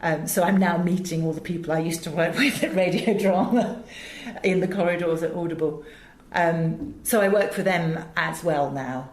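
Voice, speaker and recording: A woman's voice: on the low side, moderate pace. A middle-aged woman with a British English accent answering interview questions conversationally, with emphatic storytelling and frequent asides. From England. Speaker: interview guest, audiobook director and producer. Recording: roomy echo, faint background noise.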